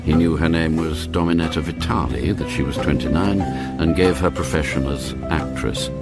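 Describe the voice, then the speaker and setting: A man narrating a spy thriller for a radio drama in a measured storytelling style. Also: very deep voice. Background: loud music.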